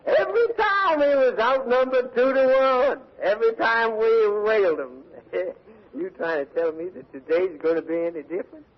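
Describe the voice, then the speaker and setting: An actor playing a boastful, taunting Confederate prisoner of war with a Southern accent, in 1940s radio drama style. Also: male voice, very high-pitched, expressive, normal speed. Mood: mocking. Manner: boastful, taunting, drawling folksy talk. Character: brawny, cocky Confederate soldier prisoner from Mississippi. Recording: muffled old 1940s radio recording.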